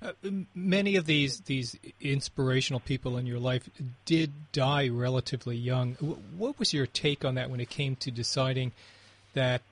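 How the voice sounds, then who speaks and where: A male interviewer with an ordinary mid-range voice asks his questions in a halting way. He often repeats the first words before he gets going. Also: medium pitch.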